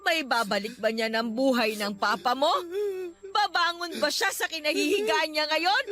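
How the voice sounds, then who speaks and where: A woman's voice: sits high, moderate pace, music in the background, natural voice. A woman playing a stern, hurt older nanny in a Filipino radio drama, emotional and reproachful as she preaches to her grown ward. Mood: angry, reproachful.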